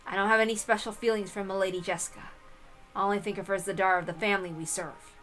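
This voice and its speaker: A woman's voice: medium pitch, steady tone, quick pace, a bit bright, faint music behind, natural voice, low-quality recording. A woman voicing a sullen, guarded teenage servant boy who is blunt and dismissive, in a visual novel reading. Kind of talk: visual novel read-aloud character voice.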